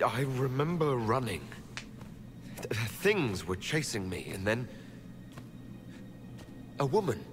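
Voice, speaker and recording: A bewildered male prisoner in a fantasy video game, earnest and defensive. He speaks slowly and haltingly, with pitch that moves around a lot. Music plays clearly behind him. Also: very animated. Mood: dazed. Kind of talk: dramatic fantasy game acting.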